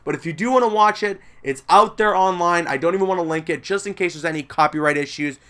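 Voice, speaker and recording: A man's voice: sits high, fast, bright, forceful tone, clear, resonant tone. A man hosting gaming news commentary in a casual, conversational and enthusiastic way, leaning on key words for emphasis. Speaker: gaming video host.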